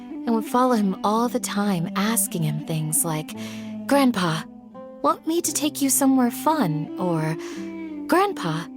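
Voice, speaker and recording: A medium-pitched, gentle voice, a woman playing a composed guardian of a village in a video game. The character speaks with measured resolve over clearly audible music. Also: natural voice.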